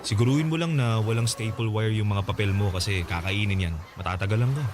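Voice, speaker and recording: An actor playing a helpful, flirtatious office IT worker in his late twenties in a radio drama, talking in a friendly, gently coaxing way. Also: lowish voice, fast talker, noisy background, natural voice. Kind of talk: naturalistic radio drama acting. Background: music.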